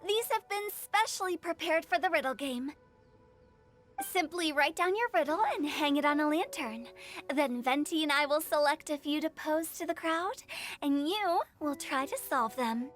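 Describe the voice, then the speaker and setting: A very high, youthful girl's voice for a game. She is a playful, theatrical host who announces things with sing-song showmanship. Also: moderate pace, fairly bright tone, faint music behind. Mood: upbeat announcing. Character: playful teenage girl event host, rhyming and theatrical. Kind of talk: video game voice acting.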